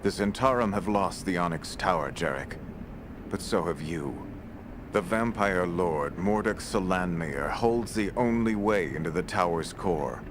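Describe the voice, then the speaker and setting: A man playing a cynical, confident mercenary swordsman in a video game, with a smug, measured, sardonic manner. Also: deep voice, lively intonation. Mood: urgent, earnest. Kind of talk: video game character acting. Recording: clearly noisy game capture.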